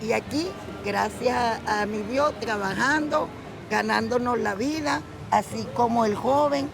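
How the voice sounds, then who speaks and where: A man's voice: extremely high pitch, unhurried, vocal fry, noisy background. An older man from Venezuela, a beach vendor who talks about his work and thanks God often. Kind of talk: street interview. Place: outdoors near a beach.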